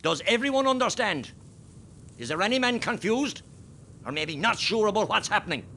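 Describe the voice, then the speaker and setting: Lively irish rebel leader played by a guy, bit high voiced, giving orders, radio drama, faint rumble. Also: normal speed, gravelly, exaggerated voice. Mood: rallying. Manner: commanding, swinging from quiet briefing to rallying. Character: steady, rousing Irish rebel column commander, male. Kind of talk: radio play acting. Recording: faint rumbling background noise.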